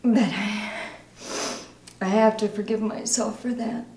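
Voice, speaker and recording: A woman performing a dramatic monologue as a guilt-ridden recovering alcoholic mother, halting, sombre and confessional. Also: mid-range voice, flat delivery, a little mellow, lightly acted. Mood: anguished, remorseful. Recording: roomy echo, faint noise.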